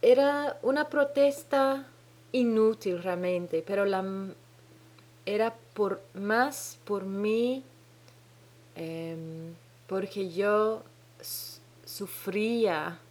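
Slow, hesitant woman with a Dominican-tinged Spanish accent. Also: medium pitch, a little mellow. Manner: hesitant, searching for words, frequent restarts. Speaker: podcast host. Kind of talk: solo podcast monologue. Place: home in New Zealand.

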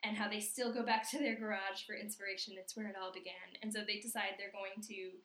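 A woman's voice: mid-range voice, little pitch movement, fast talker, fairly bright tone, echoey room. A woman co-hosting a podcast, casually recapping a cartoon episode with frequent laughs. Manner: laughs often, chatty, drops to a near whisper at times. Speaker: podcast co-host.

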